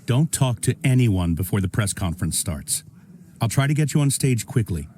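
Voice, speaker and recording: A man's voice: fairly low pitch, lively intonation, normal speed, a little mellow, natural voice. A man playing an evasive, deferential deputy police chief in a video game, hesitant and uneasy. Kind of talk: dramatic video game voice acting. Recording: faint background ambient noise.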